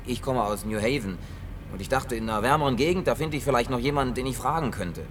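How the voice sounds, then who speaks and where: A grumbling, awkward drifter played by a man in a science-fiction radio drama. He speaks at medium pitch, hesitant yet gruffly excitable and colloquial. He sounds a little away from the mic, with a faint rumble behind him. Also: quick pace, far from the mic, lightly acted. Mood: awkward, subdued. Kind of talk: German science-fiction radio play acting.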